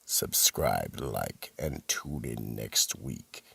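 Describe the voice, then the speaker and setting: A man playing a sinister storyteller who narrates a horror radio show in a gravelly, husky voice. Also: very deep voice, animated, very slow, pushed and bright, distant mic, exaggerated voice. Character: sinister male storyteller, keeper of a horror vault. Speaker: narrator and host.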